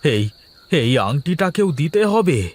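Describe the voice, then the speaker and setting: A man voicing a self-important village council chief in a cartoon, deliberate and authoritative with some bluster. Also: on the high side, big pitch swings, a little hiss or hum. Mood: indignant, uneasy. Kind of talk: cartoon story acting.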